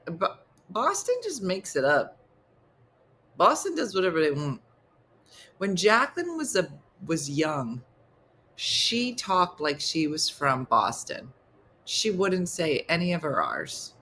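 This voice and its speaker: A woman hosting a casual comedic morning show, chatting in an animated way with quick, exclaiming asides. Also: mid-range voice, slight background noise.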